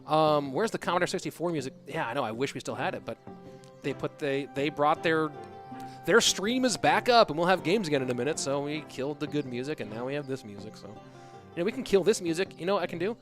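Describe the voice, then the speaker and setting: A man casually casting an esports stream, reading viewer chat aloud and answering in a relaxed, rambling way. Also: fairly high pitch, quick pace, soft background music.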